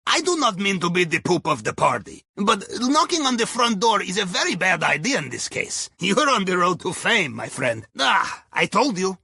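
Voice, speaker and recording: A man's voice: high-pitched, big pitch swings, moderate pace, vocal fry, hardly any pauses, sharp tone, breathy edge, no echo, exaggerated voice. A man playing an eccentric, cheerful Russian submariner in a video game, guiding a heist with wry, conspiratorial chatter and quaint non-native phrasing. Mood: wry, chatty. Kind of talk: video game character voice lines, mission guidance over comms.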